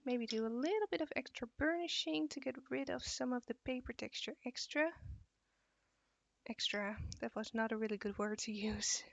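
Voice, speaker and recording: A woman's voice: a little high, normal speed, gravelly. A young Dutch woman teaching drawing on a live stream, tired late at night, answering chat as she works. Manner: relaxed, reads and answers chat questions while drawing. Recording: dull, dry sound.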